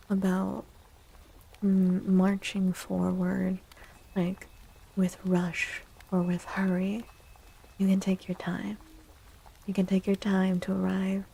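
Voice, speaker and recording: Woman, ASMR streamer, hushed and breathy, dark mellow tone, even intonation, gentle soothing delivery. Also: medium pitch, low-quality recording. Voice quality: soft, breathy, often slipping into whisper. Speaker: livestream host and tarot reader. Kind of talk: soft-spoken ASMR livestream, chatting and reading tarot.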